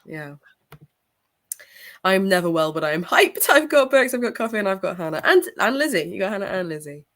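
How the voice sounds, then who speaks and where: A woman's voice: medium pitch, very animated, fairly quick, a bit bright. A young woman hosting a book livestream, talking casually with a blocked nose and sore throat, greeting viewers by name and laughing often. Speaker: book channel host.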